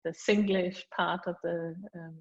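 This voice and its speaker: Danish woman author on a video call; steady monotone, lots of ums, muffled sound. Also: lowish voice, a little slow. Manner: hesitant with many fillers and restarts. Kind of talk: reading aloud then thanking people at an online book launch. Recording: dull lo-fi video call audio.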